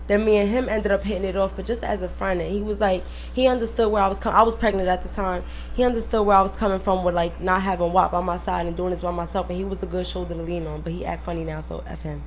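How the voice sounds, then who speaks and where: A woman's voice: mid-range voice, fairly even intonation, quick pace. A woman speaking candidly and bluntly, full of slang, as a guest in a casual radio interview. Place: radio studio. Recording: noisy radio studio recording.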